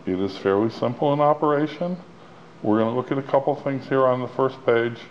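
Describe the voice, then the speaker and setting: A man's voice: medium pitch, a little fast. A man giving a plain-spoken, step-by-step instructional walkthrough of a product as its demonstrator. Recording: dull lo-fi sound with clear background noise.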